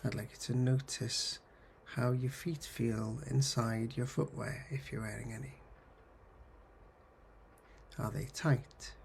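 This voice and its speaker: Male meditation guide: unhurried, gravelly and husky, soothing, gently instructive, medium pitch. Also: fairly even intonation, a little hiss or hum. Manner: soothing, gently instructive, pausing between short phrases. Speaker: counsellor guiding mindfulness. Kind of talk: guided meditation, body scan.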